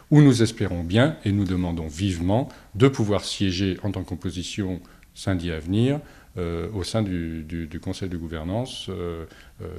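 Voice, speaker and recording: A low-pitched man speaks as a local politician making a formal statement. His tone is dark and mellow. He moves in a measured way, often stopping on drawn-out hesitations. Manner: hesitant, halting delivery with frequent long filler pauses. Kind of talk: political statement to camera, semi-prepared.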